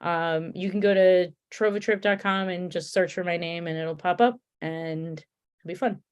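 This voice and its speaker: A woman's voice: fairly low pitch, moderate pace, clean tone. A woman with a Connecticut accent, a guest chatting casually and rambling with restarts. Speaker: podcast guest, beer comic artist. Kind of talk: casual chat.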